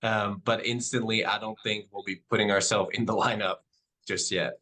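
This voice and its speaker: A Dutch-born man who speaks English with no accent as his second language. He is a padel club owner answering podcast questions in a measured, soft-spoken way, with frequent ums. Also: on the low side, steady tone. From Netherlands.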